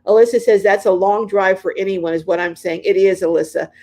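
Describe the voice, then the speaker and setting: A woman in her late sixties hosting a casual livestream, reading viewers' comments aloud and chatting back, often repeating herself and pausing with ums. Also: mid-range voice, a little mellow. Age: 60s. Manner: chatty, repeats phrases, frequent ums and restarts. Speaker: livestream host. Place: inside her van or RV.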